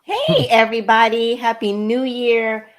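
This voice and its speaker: A woman's voice: fairly high pitch, very animated, a little slow. A woman co-hosting a talk show, chatting casually and animatedly about her day. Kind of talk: casual chat.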